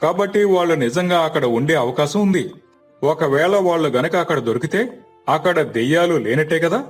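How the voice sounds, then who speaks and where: A man voicing an authoritative, skeptical village leader with a measured, persuasive manner. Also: a little high, expressive, soft background music. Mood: skeptical, resolute.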